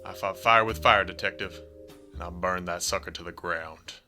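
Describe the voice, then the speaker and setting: A man's voice: deep voice, fairly even intonation, normal speed, pushed and bright, faint music behind. A man playing an indignant, self-righteous small-town sheriff in a radio drama, folksy and defiant. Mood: defiant, resigned.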